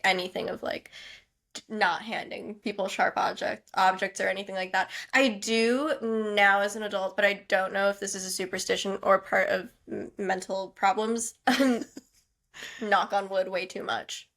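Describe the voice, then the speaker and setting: A young woman co-hosting a podcast, chatting casually and reacting in an animated, quick way. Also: medium pitch. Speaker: podcast co-host. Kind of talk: casual chat.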